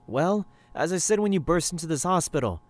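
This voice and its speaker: An actor playing a formal, officious hospital doctor in an audio drama, clipped and evasive. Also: male voice, fairly high pitch, lively intonation, a little fast, no echo, natural voice. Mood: brisk, dismissive.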